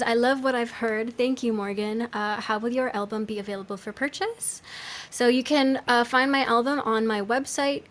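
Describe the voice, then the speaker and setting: A woman's voice: on the high side, fairly bright tone. A woman musician chatting casually on a livestream, answering in an informal, conversational way.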